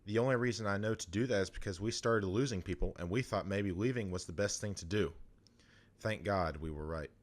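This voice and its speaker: A man's voice: lowish voice, fairly quick, close to an everyday voice. A man playing the stern, firm leader of a survivor group in an audio drama, arguing emphatically. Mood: stern, resigned.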